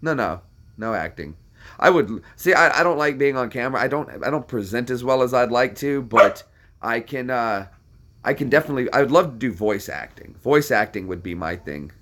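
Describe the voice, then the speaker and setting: A man's voice: medium pitch, animated, normal speed, fairly bright tone. A young American man chatting casually to viewers, answering questions in a rambling, self-deprecating way with lots of fillers. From United States. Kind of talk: casual chat.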